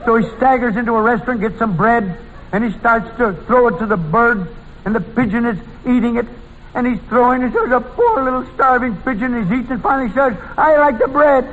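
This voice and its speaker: An actor playing an eager gag teller who rambles and repeats himself on an old radio comedy show. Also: male voice, very high voice, a little fast, a bit bright. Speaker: guest joke teller. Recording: dull echoey old radio stage.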